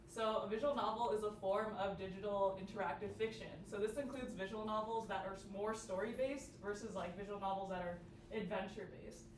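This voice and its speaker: Young woman presenting, echoey and distant. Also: medium pitch. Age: young adult. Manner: casual, peppered with "like". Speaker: student club workshop presenter. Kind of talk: informal workshop presentation. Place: indoor workshop room with audience. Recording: echoey room, distant mic, noisy background.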